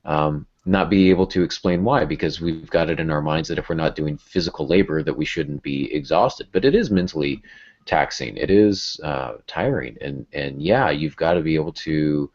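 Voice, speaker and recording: A man's voice: very deep voice, normal speed, darkish tone. A man hosting a casual podcast, talking conversationally in a wry, self-deprecating way. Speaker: podcast host. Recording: dull lo-fi podcast audio.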